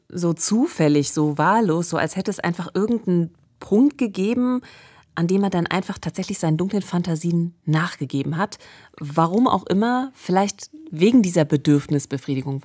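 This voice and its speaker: Woman in her 40s with a low voice telling crime stories on a podcast, close studio mic. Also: a little mellow. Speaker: podcast host. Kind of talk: true-crime podcast narration. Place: small radio studio. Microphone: close studio microphone with pop filter.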